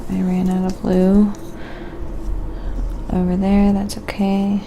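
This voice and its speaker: Soft-spoken, unhurried woman with creaky voice. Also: medium pitch, monotone, slight background noise. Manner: soft-spoken, murmuring to herself between remarks. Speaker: live-stream painting host. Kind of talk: quiet casual ASMR painting stream chat.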